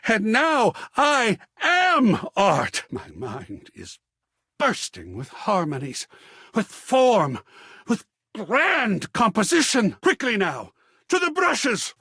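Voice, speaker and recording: A man's voice: high voice, a bit bright, breathy edge, no echo, clean studio sound, exaggerated voice. A male actor voicing a flamboyant, melodramatic, lovestruck living work of art in a video game, with florid, grandiose, theatrical delivery. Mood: awed, exultant. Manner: florid, grandiose, swooning, dramatic swings between extremes.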